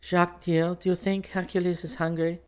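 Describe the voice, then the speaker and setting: A man's voice: sits high, flat delivery, moderate pace, clear, resonant tone, voice pushed up, natural voice. A man voicing an anxious, affectionate young woman who pleads tenderly with her lover in an audiobook. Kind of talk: audiobook character dialogue.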